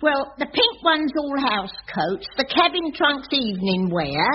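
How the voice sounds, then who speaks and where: A woman's voice: high-pitched, very animated, a little slow. A woman playing a cheeky, dramatic older cleaner, drawling and sardonic. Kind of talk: 1980s radio sitcom acting. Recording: dull old radio recording with hiss.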